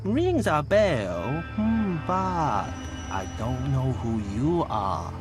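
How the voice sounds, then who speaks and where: An actor playing a sly, cagey underworld informant in a video game, talking coyly and deliberately. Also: male voice, a little high, wildly swooping pitch, over music, exaggerated voice. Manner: coy, deliberate.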